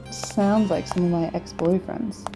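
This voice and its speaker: An actress playing a plucky, sceptical young adventurer's companion in an audio drama, with a dry, frank, teasing manner. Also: female voice, medium pitch, fairly even intonation, slow pace, over music, low-quality recording. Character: plucky, sceptical Australian woman, the time traveller's companion.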